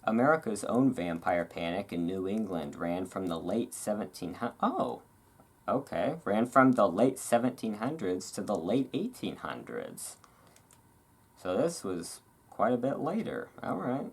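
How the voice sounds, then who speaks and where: A host reading aloud in a relaxed ASMR style, with casual, musing asides. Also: low-pitched, a little slow, husky. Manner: rambling, musing asides between read passages.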